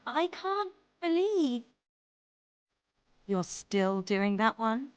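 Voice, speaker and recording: A woman's voice: on the high side, sing-song, extremely slow, clean tone, no room sound, full character voice. An actress playing a breezy, playful young woman teasing a friend in a game cutscene.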